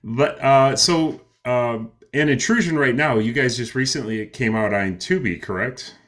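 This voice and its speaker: A man's voice: mid-range voice, moderate pace, a bit bright. A man hosting an interview, asking questions casually with stammers and restarts. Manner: stammering, laughs easily. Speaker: interview host.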